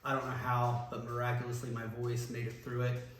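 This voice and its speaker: A man, a former band singer, reminiscing casually in an interview, relaxed and rambling with many ums and restarts. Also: medium pitch, a little fast. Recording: echoey room, voice from a distance, faint noise.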